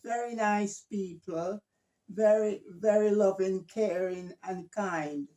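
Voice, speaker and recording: Older woman, from Jamaica; slow, warm-toned guest, gentle, halting, repeats herself. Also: mid-range voice. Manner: hesitant, gentle, repeats words. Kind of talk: casual family interview.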